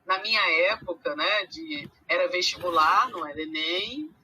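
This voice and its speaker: A woman from Rio de Janeiro with a mixed Brazilian accent, carioca with traces of Minas, chatting as a podcast guest with many pauses and fillers. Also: on the low side, lively intonation, sharp tone, husky, poor audio. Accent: mixed Brazilian Portuguese, carioca with Minas traces. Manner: hesitant, many fillers and restarts. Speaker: guest, animal science teacher. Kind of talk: casual podcast conversation.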